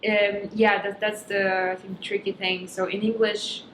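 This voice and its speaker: A woman's voice: mid-range voice, level tone, unhurried. Woman from Kazakhstan, native Russian speaker, casual chat, hesitant with many ums, roomy echo. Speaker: stand-up comedian, guest. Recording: echoey room with background noise.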